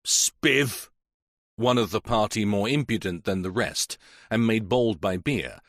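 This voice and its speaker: A male narrator reading a mystery novel in a steady, measured way. His voice sits on the lower side and is recorded dry, with no room sound around it. The delivery stays even and unhurried through the long descriptive passages. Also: no room sound. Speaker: audiobook narrator. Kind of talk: scripted narration.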